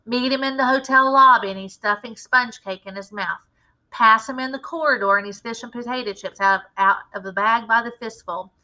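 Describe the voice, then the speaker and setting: A woman reading a children's story aloud in expressive, dramatic, clearly phrased narration. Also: on the high side, normal speed, rough recording. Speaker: read-aloud teacher.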